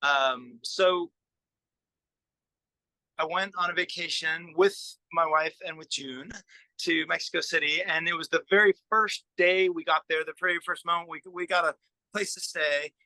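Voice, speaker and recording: A man's voice: fairly high pitch, moderate pace, sharp tone, no echo, low-quality recording. A middle-aged man, a musician, chatting casually and informally in an interview in a self-effacing way. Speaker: musician and record producer being interviewed.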